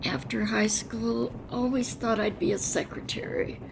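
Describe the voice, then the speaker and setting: Slow, creaky elderly American woman. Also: on the high side, fairly even intonation. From Washington State, United States. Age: older. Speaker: oral history interviewee. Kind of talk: unscripted personal reminiscence. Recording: clear vehicle and ambience noise behind. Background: added steam train sound effects.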